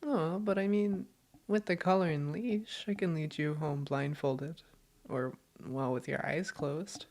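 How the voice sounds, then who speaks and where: A woman playing a doting, gently teasing girlfriend in an intimate roleplay audio, affectionate and reassuring. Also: sits low, fairly even intonation, unhurried, hardly any pauses. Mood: easygoing, caring.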